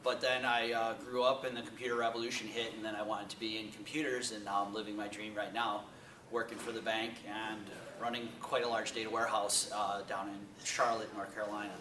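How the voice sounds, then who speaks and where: A man who belongs to a fraternity, giving brisk, upbeat interview answers. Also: on the low side, flat delivery, noisy background. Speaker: fraternity member. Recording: roomy, distant mic.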